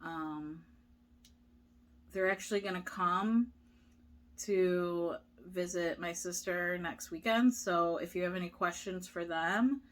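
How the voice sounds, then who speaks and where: A woman's voice: fairly low pitch, slow. A young woman vlogger chatting casually, reading viewer questions aloud and answering with many fillers. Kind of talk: casual chat.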